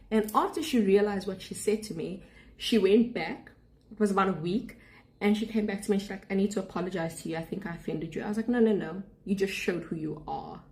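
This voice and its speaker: A woman's voice: lowish voice, mellow. A young South African woman with a South African accent, a student abroad, venting to camera in a sarcastic, indignant vlog rant. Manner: sarcastic, indignant, talks straight to viewers.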